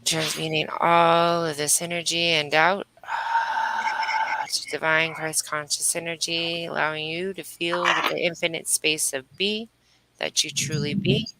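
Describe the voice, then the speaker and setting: A woman's voice: low voice, flat delivery, measured pace, heavy background noise. A woman working as a spiritual medium, guiding a breathing and energy-healing session with repetitive, incantatory phrasing.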